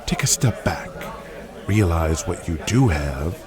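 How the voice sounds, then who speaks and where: A man's voice: deep voice, expressive, takes it slowly. A man playing a cynical, blunt, foul-mouthed 1980s wrestling promoter in an audio drama, speaking with authority. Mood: lecturing. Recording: crowd noise behind the voice. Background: arena crowd.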